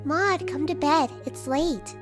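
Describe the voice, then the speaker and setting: A woman's voice: high-pitched, slow pace. A woman voicing a young female pony, a caring sister, in a fan fiction audio drama. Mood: gently pleading. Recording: music behind the voice.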